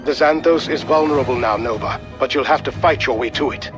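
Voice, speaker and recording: A man playing a calm, precise military intelligence adviser briefing an operative in a video game. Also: medium pitch, fairly quick, music in the background, close to an everyday voice. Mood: urgent.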